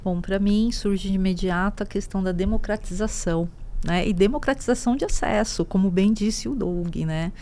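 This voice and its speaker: Mellow-voiced woman educator. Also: mid-range voice, normal speed, a little hiss or hum. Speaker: teacher and education policy manager. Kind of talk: panel discussion.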